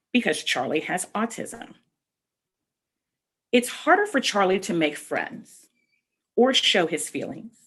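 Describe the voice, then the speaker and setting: Lady doing a kids storytime read aloud, kinda husky, really lively up and down pitch, explains stuff to the kids. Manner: expressive, addresses young listeners directly. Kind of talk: children's picture book read-aloud with explanatory asides.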